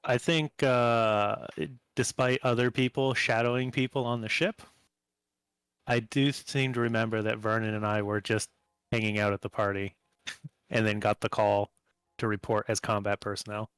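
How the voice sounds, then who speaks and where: A man's voice: on the low side, little pitch movement, moderate pace, a bit bright, clean tone, no echo. A man chatting casually as a player in a tabletop game, thinking aloud a little tentatively. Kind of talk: casual chat, in-game discussion.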